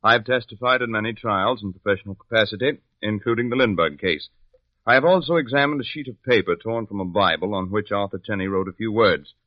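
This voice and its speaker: A man plays a courtroom expert in a 1950s radio drama. He speaks formally and with assurance in a fairly low, dry voice, muffled by the old recording. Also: fast talker, no room sound, natural voice. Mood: formal, assured. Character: formal, confident handwriting expert witness.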